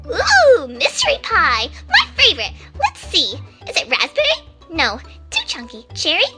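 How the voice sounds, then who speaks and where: A woman voicing a bubbly, excitable pony baker in an amateur cartoon fan fiction reading. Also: very high-pitched, unhurried, over loud music, full character voice. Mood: ecstatic.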